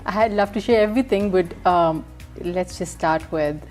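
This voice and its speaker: A soft-spoken woman in her thirties from Pakistan, telling her story as a talk show guest. Her voice sits a little low, and she often pauses with ums. Faint music plays underneath. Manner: soft-spoken, warm, frequent ums and mm-hmms. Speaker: guest, entrepreneur. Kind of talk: casual interview.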